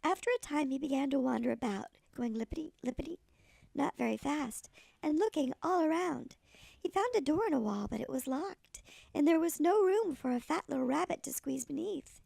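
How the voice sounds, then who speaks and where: A woman voicing a tiny old granny who reads a children's storybook expressively in a gravelly voice. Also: high voice, animated, normal speed, dark tone, dry recording, full character voice. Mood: storytelling. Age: older. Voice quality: creaky, gravelly.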